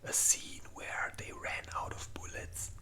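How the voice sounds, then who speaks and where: A host speaking in a breathy, unvoiced whisper, rambling informally in a casual ASMR ranking video. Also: measured pace, sharp tone.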